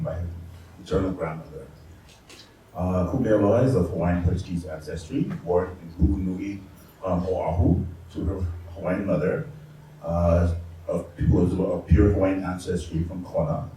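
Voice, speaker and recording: A man in his fifties with a very low voice reads his moderator's notes in a halting way, pausing often on fillers. He is heard from a distance in a strongly echoing event room with a faint hiss behind him. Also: dark tone. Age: 50s. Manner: halting, with frequent filler pauses. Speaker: panel moderator. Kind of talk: reading prepared panel remarks. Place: community arts center event room. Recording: echoey room, distant mic, faint noise.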